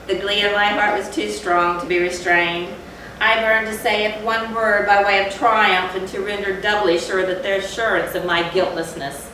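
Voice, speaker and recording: A woman's voice: mid-range voice, flat delivery, moderate pace, bright, forceful tone, close to an everyday voice. A woman playing a guilt-ridden, gloating murderer in a theatrical, formally worded stage reading of Gothic horror. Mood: gloating relief. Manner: theatrical, emphatic, old-fashioned formal diction. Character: gloating, guilt-ridden murderer confessing, outwardly calm, inwardly frenzied. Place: theatre stage, recorded from a distance. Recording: echoey hall, distant mic, noisy.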